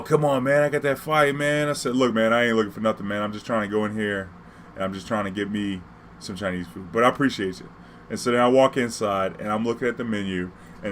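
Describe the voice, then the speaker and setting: A man's voice: on the low side, fairly bright tone. Slangy American man telling stories over background noise. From New Orleans, US. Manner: slangy, profane, street talk. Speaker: vlogger telling personal stories. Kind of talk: casual animated storytelling, acting out dialogue.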